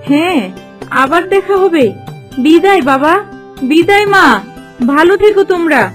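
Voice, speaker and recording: A woman's voice: extremely high pitch, normal speed. A woman playing a devoted, cunning young golden fish wife in a Bengali fairy-tale cartoon, with a theatrical delivery. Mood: warm, relieved. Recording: faint music behind the voice.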